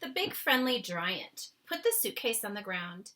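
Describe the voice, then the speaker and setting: Animated, bright-voiced woman reading a storybook. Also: mid-range voice, a little slow, slight background noise. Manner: dramatic, expressive phrasing. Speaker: storybook reader. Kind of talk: animated children's read-aloud narration.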